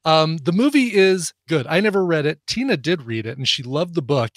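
A man's voice: a little high, lively intonation, moderate pace, no room sound, studio quality. A man co-hosting a chatty show and recommending a film, rambling, with lots of fillers and laughs. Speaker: podcast co-host and film recommender. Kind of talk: casual chat.

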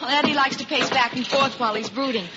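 An actress playing a devoted young sweetheart, brisk, coaxing and tender, in a 1950s radio drama. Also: female voice, high-pitched, close to an everyday voice. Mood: spirited, tender. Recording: very noisy, dull old radio recording.

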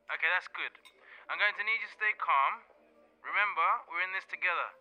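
An actor plays a reassuring family doctor down a thin phone line. The male voice is high, very bright and quick, with music behind it in an audio drama. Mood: calm, reassuring. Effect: telephone filter. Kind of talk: modern Christmas audio play acting. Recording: thin phone-call sound, music underneath.

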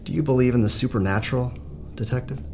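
A man playing an earnest, troubled psychiatrist in an audio drama, speaking in a measured, polite, halting way. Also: medium pitch, a little mellow, slight background noise, natural voice. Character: earnest, hesitant male psychiatrist, troubled but professional.

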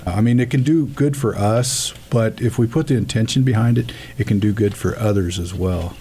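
A man speaking as a radio guest, giving an earnest testimony about prayer with halting speech and frequent restarts. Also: fairly low pitch, mellow, slight background noise.

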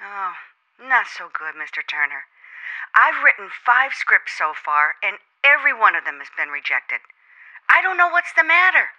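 Hesitant young American aspiring writer, vintage radio thriller; courteous, animated intonation, sharp tone, lo-fi telephone filter. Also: female voice, medium pitch, moderate pace. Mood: hesitant, downcast. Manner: polite, hesitant. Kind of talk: 1950s American radio drama acting. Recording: dull, band-limited telephone voice on vintage radio.

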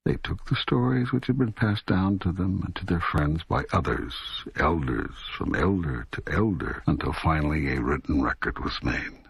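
An older man voicing a wry, patient, authoritative God in an audiobook dialogue, gravelly, deliberate and emphatic. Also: rumbling low, no room sound, full character voice.

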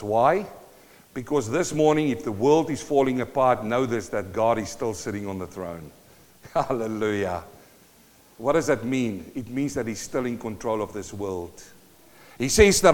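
A South African-born man preaching a sermon, emphatic and full of rhetorical questions to the congregation. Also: fairly high pitch. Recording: long hall reverb.

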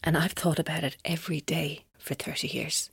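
A woman's voice: low voice, little pitch movement, normal speed, creaky, a bit bright, husky, dry recording, studio quality, exaggerated voice. An actress playing a vengeful, unstable woman, long bullied, in an audio drama. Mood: cold, menacing.

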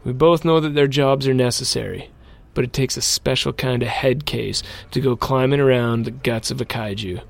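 A man playing a mech pilot and sole survivor, narrating his account in a measured first-person audio drama style. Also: mid-range voice, fairly even intonation, creaky, close to an everyday voice. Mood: grim, reflective.

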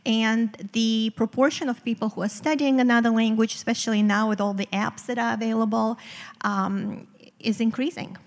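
A woman's voice: on the high side, steady tone, moderate pace, big-room sound. A woman from Eastern Europe whose first language is Romanian, explaining research articulately as a guest in a live stage interview. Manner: articulate, explanatory, occasional fillers. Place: theater stage before a live audience.

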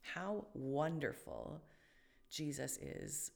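A woman's voice: low voice, lively intonation, extremely slow, gravelly, darkish tone. A woman co-hosting a faith podcast, talking casually and thoughtfully, with many fillers and restarts. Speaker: podcast co-host.

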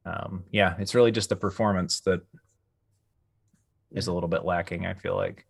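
A man's voice: deep voice, fairly even intonation, normal speed, vocal fry, husky, no room sound, clean studio sound. A man co-hosting a casual review podcast, speaking tentatively and hedging his opinions as he goes. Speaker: podcast host. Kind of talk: casual panel review chat.

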